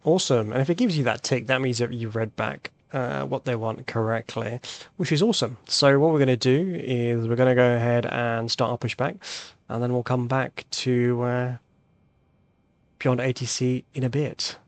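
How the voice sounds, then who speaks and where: A man's voice: mid-range voice, normal speed, dry recording. A man hosting a flight simulator video, thinking aloud in casual tutorial commentary and reading back radio calls. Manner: thinks aloud while demonstrating.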